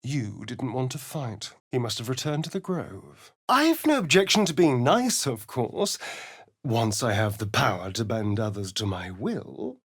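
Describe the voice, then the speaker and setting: Theatrical, preening vampire with swooping pitch. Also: male voice, big pitch swings, a little slow, husky, exaggerated voice. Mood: scornful, cold. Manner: arch, flirtatious, swooping from purrs to exclamations. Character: preening, sardonic male vampire spawn companion. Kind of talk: theatrical fantasy video game dialogue.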